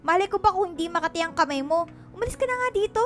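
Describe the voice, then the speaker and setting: A woman's voice: very high voice, expressive, racing, fairly bright tone. A woman playing a mean, snobbish, accusing housewife in a radio drama, scolding and snappish. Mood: scornful, dismissive. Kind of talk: Filipino radio drama acting.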